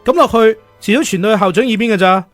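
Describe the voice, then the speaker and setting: A man's voice: sits high, very fast, faint music behind. A man acting in a Cantonese radio drama as a stern, jealous teacher of thirty-one with a Hong Kong accent. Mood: exasperated. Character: stern, jealous thirty-one-year-old male teacher, worried fiancé.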